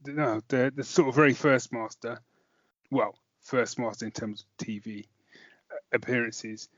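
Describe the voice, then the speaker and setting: A man's voice: medium pitch, fairly even intonation, a little slow, dry recording. A man co-hosting a review podcast in a casual chatting style. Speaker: podcast co-host. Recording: dull, dry podcast audio.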